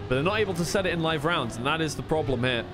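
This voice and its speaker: A man's voice: fairly high pitch, lively intonation, rapid-fire, noisy background. A man doing live esports play-by-play commentary in a conversational way, thinking aloud as he goes.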